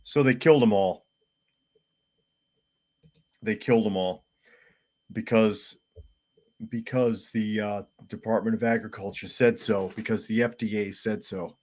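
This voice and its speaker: Man, low voice, a bit hoarse from a sore throat, casual live podcast host, plain-spoken, reads out viewer comments. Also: fairly even intonation, measured pace, pauses often, a little mellow. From United States. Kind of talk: casual live-stream chat.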